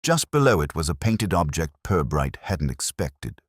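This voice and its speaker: A man reading a scripted mystery story as narrator, in a measured, literary storytelling manner. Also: rumbling low, very animated, husky, no echo, studio quality. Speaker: audiobook narrator.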